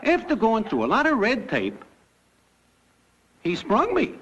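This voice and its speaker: High-pitched, brash young cartoon wiseguy. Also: male voice, wildly swooping pitch, a little slow, slight background noise, full character voice. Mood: matter-of-fact, jaunty. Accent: American. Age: young adult. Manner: breezy, wisecracking, packed with idioms. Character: brash slangy young newcomer to the afterlife telling his life. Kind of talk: classic cartoon comic narration. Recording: dull lo-fi cartoon soundtrack, loud music.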